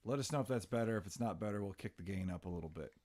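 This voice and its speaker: A man's voice: on the low side, rapid-fire, warm tone. A man hosting a casual interview, chatty and friendly, asking open questions. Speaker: podcast host.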